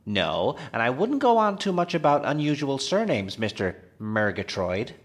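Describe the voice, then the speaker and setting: An actor playing a timid, earnest forest ranger, polite and matter-of-fact, in a comedic audio drama. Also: male voice, medium pitch, sing-song, moderate pace.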